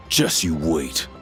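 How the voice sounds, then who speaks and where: An actor in a video game playing a resentful, pushy young street punk who sneers and gripes. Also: male voice, deep voice, gravelly, full character voice. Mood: sneering. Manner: sneering, griping.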